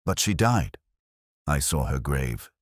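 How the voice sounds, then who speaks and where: Earnest young woman, read by a deep-voiced man. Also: big pitch swings, slow, no room sound, clean studio sound, full character voice. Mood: shocked. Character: earnest young woman, shaken but determined. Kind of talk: audiobook character reading.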